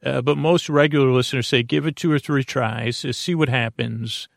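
A man hosting a sleep podcast in a creaky voice, gently reassuring as he rambles, halts, repeats himself and trips over words in a soothing monologue. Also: mid-range voice, steady tone, normal speed, no echo, studio quality. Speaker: podcast host.

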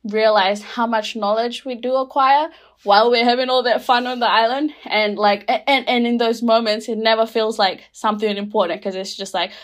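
A young woman from Rotuma, a guest speaking in casual, reflective conversation, full of "like" and "you know" and quick to laugh. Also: a little high, steady tone. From Rotuma, Fiji. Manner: chatty, many fillers, laughs easily.

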